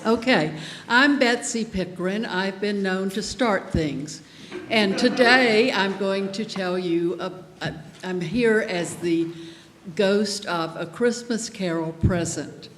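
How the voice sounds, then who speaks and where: A woman giving an informal, chatty and halting speech to an audience as an event organizer, talking into a stage microphone. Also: mid-range voice. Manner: chatty, halting, addressing the room. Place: large echoey hall with an audience. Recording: echoey hall, audience noise, faint hiss.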